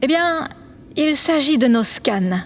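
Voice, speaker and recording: A woman as an earnest, high-voiced engineer. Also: lively intonation, takes it slowly. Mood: earnest, concerned. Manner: deferential, careful, matter-of-fact. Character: earnest, polite female chief engineer on a spaceship. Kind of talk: scripted audio drama acting. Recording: clear background noise.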